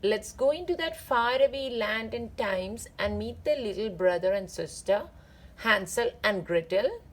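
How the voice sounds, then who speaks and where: Slow, lively woman reading a children's story. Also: mid-range voice, low-quality recording. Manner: simple, explanatory storytelling to young listeners. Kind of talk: read-aloud children's storybook narration with simple asides.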